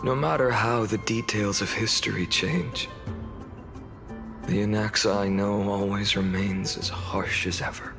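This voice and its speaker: An actor playing a calm, weary young male hero with a measured, wistful manner, in an anime-style video game. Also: fairly low pitch, vocal fry. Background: game music.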